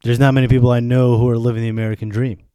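A man's voice: mid-range voice, flat delivery, rapid-fire, warm tone, clear, resonant tone, no room sound, studio quality. A man of thirty hosting a solo podcast, venting candidly in a sardonic, freely swearing way. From born in Germany to Bosnian refugees, raised in the US. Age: 30s. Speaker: podcast host.

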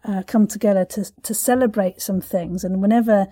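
A woman's voice: mid-range voice, steady tone, fairly quick, dark tone. A woman hosting a casual podcast interview, chatty and effusive, with playful turns of phrase.

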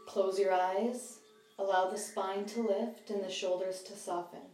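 Female meditation guide, soft-edged mellow tone, calm measured phrasing, recorded slightly away from the mic with music behind. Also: medium pitch. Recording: slightly distant roomy sound, music behind.

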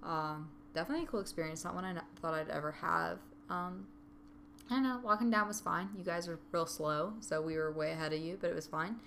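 A woman's voice: on the low side, a little fast, vocal fry, a little hiss or hum. A woman chatting casually and animatedly about a hike, acting out her own reactions. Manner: animated storytelling, acts out her own quotes. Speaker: hiker recounting a trip. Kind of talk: casual chat.

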